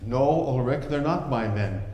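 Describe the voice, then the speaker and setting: A man's voice: mid-range voice, lively intonation, unhurried, darkish tone, natural voice. A man playing a mocking, stubborn rival landowner who laughs at his enemy. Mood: grim. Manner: scornful, laughing. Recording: echoey hall with long reverb.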